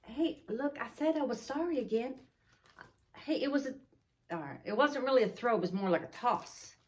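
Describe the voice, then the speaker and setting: A woman's voice: fairly high pitch, lively intonation, normal speed. An older woman hosting children's story time, chatting casually and in a folksy way to young viewers. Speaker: children's story reader and host.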